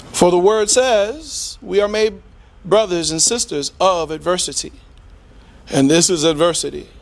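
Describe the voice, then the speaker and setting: A middle-aged man giving an impassioned, preacher-like public speech in a deliberate, rhetorical manner with emphatic repetition. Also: sits high. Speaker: community speaker.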